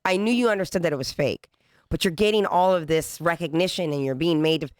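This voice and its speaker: A woman hosting a podcast interview, pressing her guest with repeated follow-up questions and rephrasing to dig deeper. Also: brisk, darkish tone, no echo, clean studio sound. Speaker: podcast host.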